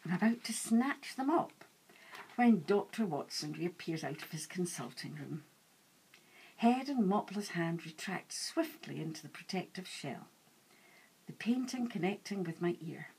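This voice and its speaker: Husky woman reading a novel, very expressive. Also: lowish voice, a little slow, rough recording. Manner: animated, dramatic storytelling delivery. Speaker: author reading own novel aloud. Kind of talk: expressive audiobook-style first-person narration.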